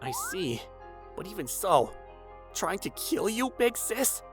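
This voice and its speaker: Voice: medium pitch, big pitch swings, slow pace, music in the background. An actor playing an earnest, forgiving teenage younger brother who also narrates in a manga dub. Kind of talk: anime-style manga dub acting and narration.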